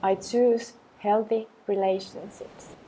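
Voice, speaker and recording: A woman leading a guided meditation with affirmations, speaking in a calm, gentle, measured way with long pauses. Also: medium pitch, slight background noise, poor audio.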